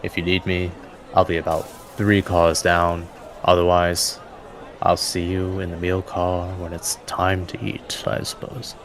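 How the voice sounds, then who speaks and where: A man's voice: deep voice, completely flat delivery, a little slow, a little hiss or hum, poor audio. A man playing an aloof, privacy-loving male traveler in a tabletop game, speaking formally.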